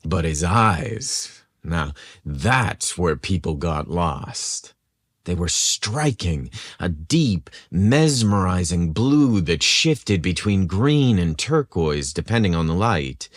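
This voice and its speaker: A man narrates a story as a podcast host, with a lowish voice that rises and falls widely. His delivery is teasing and suggestive, and the room around him sounds echoey. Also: clean studio sound.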